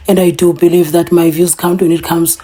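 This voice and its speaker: A woman's voice: lowish voice, little pitch movement, fairly quick, dark tone, slightly rough. A tired woman with a South African accent, talking earnestly off camera as host of her political commentary vlog. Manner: earnest, conversational, with restarts. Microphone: voice-over, off camera.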